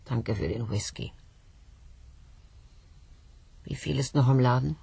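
An actress playing an injured, hard-drinking, needy and taunting woman in a German radio drama, swinging from muttered to shrill. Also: a little fast, gravelly, dark tone, breathy edge, full character voice. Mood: needling, demanding.

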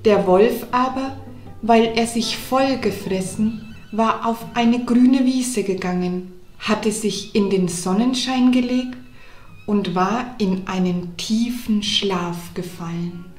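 A woman narrating a scripted children's fairy tale deliberately, pausing between phrases. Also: medium pitch, a little mellow, reverberant, soft background music. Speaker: storyteller.

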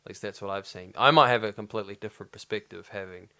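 A man's voice: fairly low pitch, fast, pushed and bright. A man co-hosting a casual podcast who rambles and corrects himself, and often murmurs agreement while the other host talks. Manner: rambling, self-correcting, frequent listener murmurs. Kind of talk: casual conversation.

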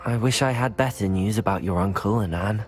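A young man playing a polite, loyal student wizard in a video game, measured and earnest. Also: lowish voice, close to an everyday voice. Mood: apologetic, measured. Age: young adult. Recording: game audio with music underneath.

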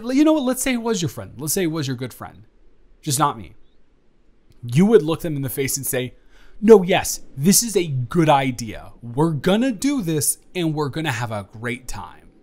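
A man playing an excitable, incredulous young influencer friend in an improvised audio roleplay, rambling emphatically to one listener. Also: a little high. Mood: animated, teasing. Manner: rambling, emphatic, halting, with dropped asides.

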